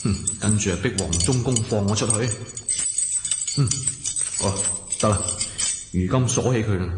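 A man's voice: on the low side, normal speed, noisy background, natural voice. An actor playing a cocky young swordsman escaping a dungeon, talking theatrically to himself in a radio drama. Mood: scheming. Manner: theatrical, talks to himself, chuckles. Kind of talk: Cantonese radio drama acting. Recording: echoey hall-like reverb, music behind.

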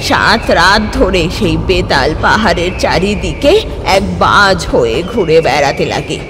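A woman voicing a stern, wise old village sorceress who gives firm orders and tells dark tales. Loud music plays behind her. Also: fairly low pitch, expressive, measured pace, background noise. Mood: storytelling. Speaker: healer of the story.

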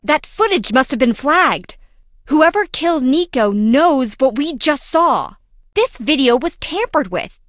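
A tech-savvy young woman who speaks quickly and urgently. Her voice is high and lively, recorded dry and close. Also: no room sound. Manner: urgent, focused. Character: sharp young female tech expert. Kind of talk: video game voice acting.

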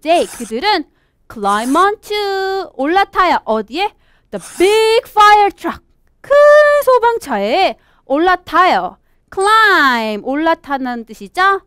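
A young woman, native in Korean, guides children through a storybook English lesson. Her voice is extremely high and swings widely in an animated, exaggerated way. She speaks very slowly, as a teacher does for small learners. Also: no room sound, studio quality. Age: young adult. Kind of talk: children's storybook English lesson, switching between two languages.

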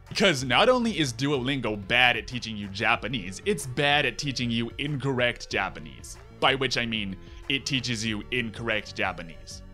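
A man in his twenties hosts a comedic review with open sarcasm and exasperation. His bright, forceful voice moves through lively, exaggerated intonation. It is recorded close at a desk microphone, with faint music behind it. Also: medium pitch. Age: 20s. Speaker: YouTube reviewer, host. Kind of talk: scripted comedic review commentary. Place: small room at home, indoors.

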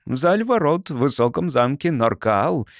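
A man voicing a brisk, patronizing, smooth-talking mysterious man from the future in an audiobook. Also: mid-range voice, very animated, dry recording, clean studio sound. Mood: assured, coaxing.

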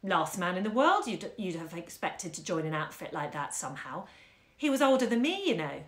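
A woman's voice: on the low side, very animated, moderate pace. A woman voicing a weary, dutiful young husband in a read-aloud story. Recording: roomy echo.

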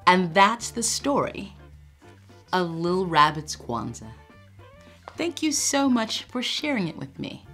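Expressive middle-aged woman reading aloud. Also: fairly low pitch, takes it slowly, pauses often, soft background music. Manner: animated, expressive storytelling. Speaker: storytime reader. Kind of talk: children's read-aloud. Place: home room with bookshelves.

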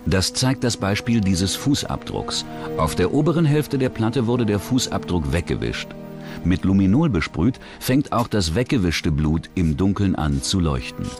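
A man's voice: deep voice, sing-song, moderate pace. A man reading scripted true-crime documentary narration as the narrator. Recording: music under the voice. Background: background music.

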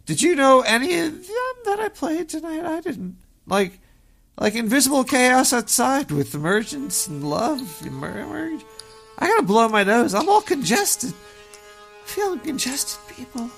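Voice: big pitch swings, measured pace, vocal fry, a bit bright, soft background music, exaggerated voice. A performer voicing a paranoid cartoon rat who hosts a radio show. The rat has a stuffy nose and rambles and stammers. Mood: rambling. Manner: rambling, stammering, swings wildly in pitch. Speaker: host taking calls and playing music. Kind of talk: comedic radio show chatter.

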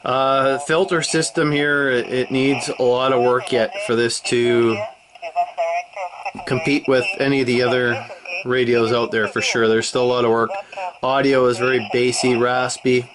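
A middle-aged man from Canada giving a casual, matter-of-fact and slightly halting hands-on equipment demo. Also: mid-range voice, little pitch movement, a crowd in the background, poor audio. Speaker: amateur radio hobbyist demonstrating gear. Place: small cluttered indoor radio desk. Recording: loud shortwave radio noise behind voice. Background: shortwave radio broadcast and static.

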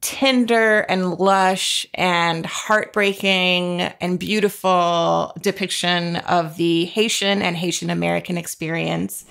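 A woman's voice: on the low side, steady tone, takes it slowly, gravelly, few pauses, a bit bright. A woman author co-hosting a podcast in a casual book chat, lingering appreciatively on descriptive words.

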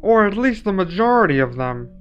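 A man's voice: sits high, big pitch swings, brisk, clean tone. A man playing a scheming villain lieutenant in an audio roleplay, polite and persuasive toward his master. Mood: eager persuasion. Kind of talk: roleplay ASMR villain acting. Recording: slightly dull sound, music behind.

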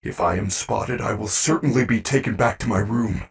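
An actor voicing a kind, protective animatronic bear entertainer in a video game, speaking in an earnest, formal, precise way. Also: male voice, on the low side, moderate pace, gravelly, husky, recorded from a distance, full character voice. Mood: earnest, concerned. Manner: earnest, formal, precise speech without contractions.

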